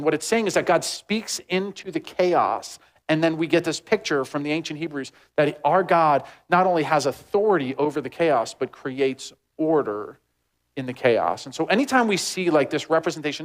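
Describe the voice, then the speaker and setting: Man, pastor, high voice, loose conversational sermon, rambling asides, animated. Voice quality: says he has a deep voice. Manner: rambling, animated, heavy use of "like".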